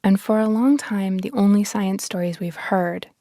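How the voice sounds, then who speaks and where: A woman's voice: medium pitch, normal speed, warm tone, no echo, clean studio sound. A woman speaking as a guest in a conversational interview, explaining her ideas in a reflective, emphatic way. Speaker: interview guest, science storytelling advocate.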